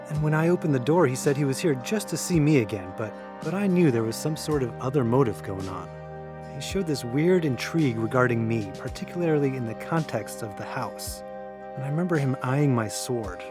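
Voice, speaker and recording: A man's voice: medium pitch, close to an everyday voice. A man playing a knowing young man in an audio drama, talking in a casual, matter-of-fact way. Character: Zeb, knowing young man telling eerie memories.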